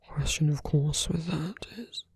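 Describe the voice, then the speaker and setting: A man playing a smitten, idealistic villain in an intimate ASMR roleplay, with a husky, often whispered voice and an earnest, coaxing manner. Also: fairly high pitch, fairly even intonation, unhurried, darkish tone, no room sound, exaggerated voice. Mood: earnest, tender. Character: romantic male villain, smitten, idealistic, urging a lover to flee.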